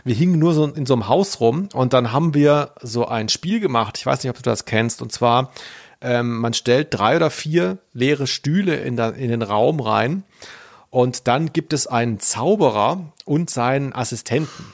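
A man's voice: medium pitch, moderate pace, vocal fry. A man hosting a podcast in casual conversation, telling personal anecdotes in a rambling, self-deprecating way. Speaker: podcast host.

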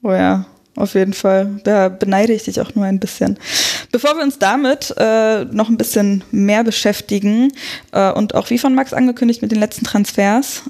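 A woman's voice: mid-range voice, fairly even intonation, normal speed, warm tone. A woman from Berlin hosting a football podcast, chatty and loose, correcting herself as she talks. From Berlin, Germany. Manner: chatty, with fillers and self-corrections. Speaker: podcast host.

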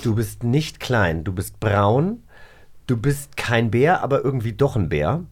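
A man's voice: mid-range voice, very animated, measured pace. A man co-hosting a show, chatting casually and playfully, joking and thinking aloud during a guessing game. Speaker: co-host of an audio drama magazine show.

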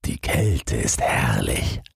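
A man's voice: extremely low pitch, sing-song, slow pace, gravelly, breathy edge, dry recording, clean studio sound, pitched below the performer's own voice, full character voice. A man voicing a naive, curious and wistful young snowman in a storybook, asking in a wondering manner. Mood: eager. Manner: wondering, questioning. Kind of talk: storybook character voice.

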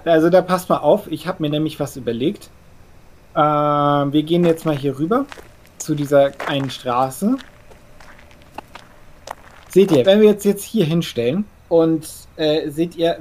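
Man acting flustered vampire scholar, fussy, slow halting stammer, raised pitch, audio drama. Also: a little mellow, lightly acted. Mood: fussy explaining. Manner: stammering, halting, repeats words. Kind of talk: improvised role-play audio drama.

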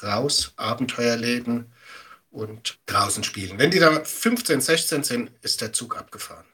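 A middle-aged man speaks as an expert guest in an interview. His voice is bright and forceful with a raspy edge, at an average pitch. He explains conversationally and emphatically, using everyday examples. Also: normal speed. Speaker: media education expert guest.

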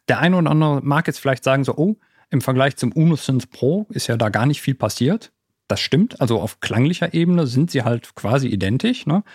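A man's voice: medium pitch, a little mellow, breathy edge, no echo. Guy doing chatty gear review, enthusiastic, throws in english tech words, very dry recording. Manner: enthusiastic, colloquial, mixes English tech jargon into German. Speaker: podcast co-host presenting music gear. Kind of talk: casual gear review chat.

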